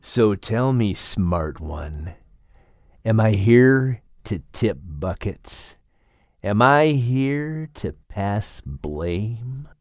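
A man voicing a menacing, boastful king cobra villain in a dramatized children's audiobook, with a gloating, taunting, drawn-out delivery. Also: lowish voice, very animated, darkish tone, no echo, clean studio sound, heavily acted.

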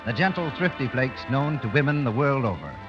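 A man's voice: mid-range voice, very animated, fairly quick, in a large hall, over music. A man working as a radio announcer, reading a script in 1930s broadcast style on an old muffled recording.

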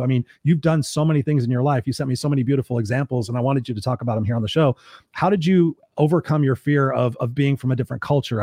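Fast-talking man with a mellow voice. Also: mid-range voice, dry recording, studio quality. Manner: quick and encouraging, affirming often. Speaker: podcast host. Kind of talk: interview conversation.

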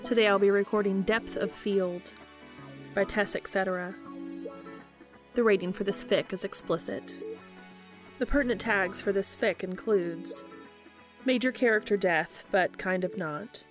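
A woman's voice: medium pitch, measured pace, long pauses, music in the background. A woman reading a story aloud in audiobook-style narration, as the reader of a fiction podcast.

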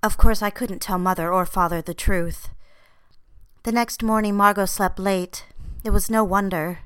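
An actress playing an earnest, defensive young secretary and dutiful daughter in an audio drama. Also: female voice, medium pitch, a bit bright, clean tone, no echo, natural voice. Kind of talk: audio drama acting with first-person diary narration.

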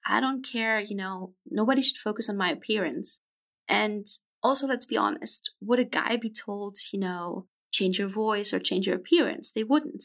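A woman's voice: mid-range voice, gravelly, fairly bright tone. A woman in her twenties, a podcast guest talking frankly and reflectively in a casual interview. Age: 20s.

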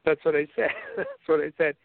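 Canadian male co-host, rambling, halting, slightly high voice, dry phone-quality audio. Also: huge pitch swings, gravelly, no room sound. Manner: rambling, halting with many restarts. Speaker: podcast co-host. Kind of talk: casual chat. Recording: tinny phone-like line.

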